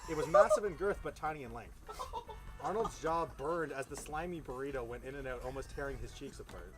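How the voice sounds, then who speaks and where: A man's voice: mid-range voice, animated, fairly quick, over music, rough recording. A man casually reading a story aloud outdoors, stumbling over words and breaking off into informal, profane asides. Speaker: host reading fan fiction aloud. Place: outdoors beside a fire.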